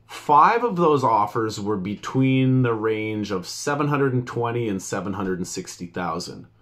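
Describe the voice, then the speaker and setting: A man, a realtor explaining things informally to camera in a conversational, emphatic way. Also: mid-range voice. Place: small indoor office.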